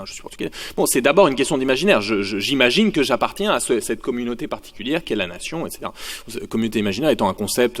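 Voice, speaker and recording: Man lecturing with many fillers, reverberant hall. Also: fairly low pitch. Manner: thinking aloud with many fillers and restarts. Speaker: sociology lecturer. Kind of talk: university conference talk.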